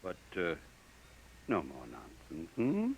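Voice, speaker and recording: A man's voice: low-pitched, wildly swooping pitch, takes it slowly, slight background noise, heavily acted. An actor playing a brusque, dismissive male bureau chief who gives orders, in a 1950s radio drama. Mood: placating.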